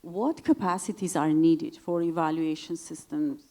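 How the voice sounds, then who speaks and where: A woman moderates a conference panel, turning to speakers and the floor with friendly, slightly hesitant phrasing. Her voice sits somewhat low and she fills gaps with uh. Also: a little slow, mellow.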